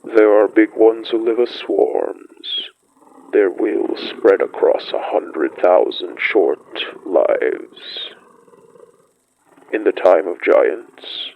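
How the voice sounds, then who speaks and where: A man playing an ancient, solemn wolf-like pack elder in a horror audio drama, telling of old times in measured, poetic speech with a gravelly voice. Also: low-pitched, muffled recording, heavily acted. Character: ancient great wolf-like beast, solemn pack elder, recalling primeval winters. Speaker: storyteller. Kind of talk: scripted dramatic monologue, horror fiction podcast.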